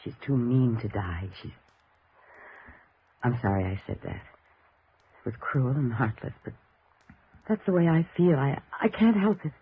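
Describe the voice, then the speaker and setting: An actress playing a bitter, grieving daughter in a 1950s radio drama, tense and dramatic. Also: female voice, rumbling low, expressive, a little slow, pauses often. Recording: tinny, noisy vintage radio recording.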